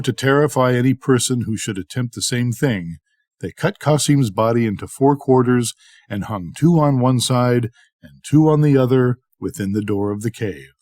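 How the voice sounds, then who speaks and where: A man's voice: mid-range voice, darkish tone, no room sound, studio quality. An older man reading a bedtime story aloud as a storyteller.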